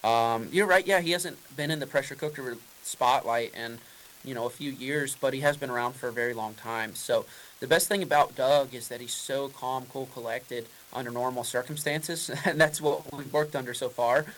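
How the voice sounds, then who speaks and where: A male race driver answers questions at a press conference, rambling casually and restarting his sentences. His voice sits at an everyday middle pitch, with a faint hiss behind it. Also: normal speed, fairly bright tone, low-quality recording.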